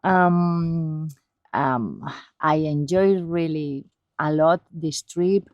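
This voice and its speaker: Woman, low and slow, hesitant, dull dry audio. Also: no echo. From Madrid, Spain. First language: Spanish. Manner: hesitant, warm, many "um"s.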